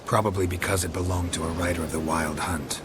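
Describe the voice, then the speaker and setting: An actor playing a gruff, stoic, middle-aged monster hunter in a video game, terse and deadpan. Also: male voice, deep voice, fast talker, background noise, natural voice. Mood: matter-of-fact. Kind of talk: video game cutscene acting. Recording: loud music and sound effects behind.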